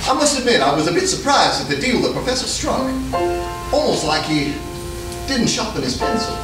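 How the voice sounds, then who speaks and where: An actor playing an earnest, stubborn show cowboy in a stage melodrama, plain-spoken and folksy. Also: male voice, high-pitched, big pitch swings, fairly quick. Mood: dry. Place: theater stage, recorded from a distance. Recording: echoey stage recording from far off, music.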